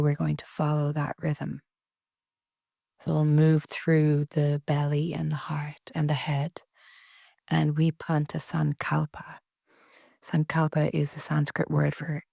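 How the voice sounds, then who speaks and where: A woman leading a guided relaxation meditation in a soft, breathy, soothing way with long pauses. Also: low voice, no echo, studio quality. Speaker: yoga nidra meditation guide.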